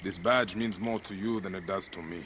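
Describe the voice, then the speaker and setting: Guy acting a gruff brooding egyptian warrior in a game, lowish voice, grieving dad out for revenge, bit of background noise. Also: fairly even intonation, moderate pace, close to an everyday voice. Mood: resentful. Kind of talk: video game cutscene acting.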